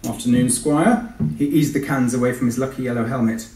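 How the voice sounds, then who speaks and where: A man's voice: mid-range voice, fairly even intonation, fast talker, darkish tone. A man with a British accent, an author giving a live literary reading. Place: live event in a hall. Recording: echoey hall, distant microphone.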